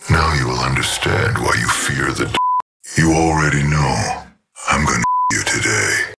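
A man voicing a menacing, sadistic robot assassin in a shooter game, with a growling, gravelly voice and a sinister, taunting delivery. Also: a bass voice, a little slow, heavy background noise, exaggerated voice. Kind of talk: video game voice lines.

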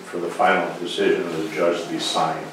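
A man's voice: low voice, flat delivery, a little fast, fairly bright tone, slight background noise. A man seated at a meeting table, talking bluntly and at length about town business, recorded by a far camera. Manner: blunt, opinionated, talks in runs. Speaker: first selectman. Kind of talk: meeting discussion. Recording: very echoey room, from far off.

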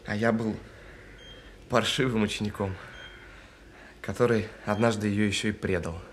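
An actor in an audio drama playing a guilt-ridden bomb technician on the phone, speaking haltingly and earnestly. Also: male voice, lowish voice, steady tone, slight background noise, lightly acted. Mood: remorseful, resigned. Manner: halting, earnest, apologetic, with stammered restarts. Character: guilt-ridden male bomb defuser saying goodbye by phone.

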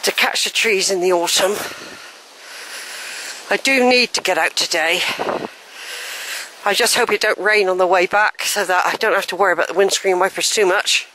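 An older woman rambles cheerfully as she walks a wooded track, talking into a handheld camera. Her voice is bright and a little wheezy, and a faint hum of the outdoors sits behind it. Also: mid-range voice, normal speed, poor audio. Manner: chatty, rambling, thinking aloud. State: a bit wheezy. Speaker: walking vlogger. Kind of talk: casual walking commentary. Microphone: handheld camera while walking.

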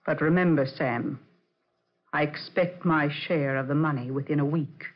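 A woman's voice: low voice, moderate pace. An actress playing a greedy female nurse, cool and pointed, in a 1940s radio drama. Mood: cool, demanding. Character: greedy, hard-edged female private nurse and accomplice. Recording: dull, muffled 1940s radio recording.

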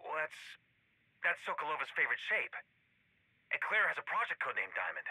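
An anxious, suspicious agent in a video game. His voice has a very tense, bright edge and sits dry and close. He talks earnestly and fretfully, in naturalistic dialogue, as a devoted partner. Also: on the high side, very animated, brisk, slightly rough, no echo, full character voice, poor audio. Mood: subdued, affectionate.

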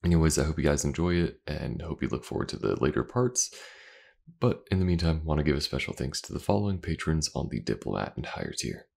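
Deep-voiced man with a crackly fry. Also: quick pace. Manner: relaxed, informal, loosely phrased. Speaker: voice actor and channel creator. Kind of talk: casual outro addressed to listeners.

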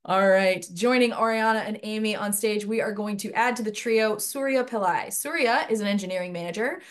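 A woman's voice: medium pitch, brisk. A young woman hosts a webinar panel from her home on a headset mic. She chats easily and drifts into long, rambling anecdotes while keeping the session moving. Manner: chatty, rambling storyteller. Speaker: host.

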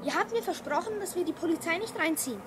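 A very high, cheeky little boy. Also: lively intonation, quick pace, noisy background. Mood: eager. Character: eager, cheeky young boy in a gang of friends. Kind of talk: children's radio play acting.

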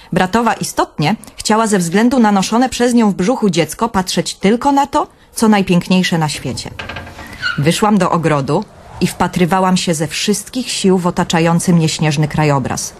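A woman's voice: mid-range voice, close to an everyday voice. An actress playing a dreamy, wry young woman who narrates her own story in a radio drama.

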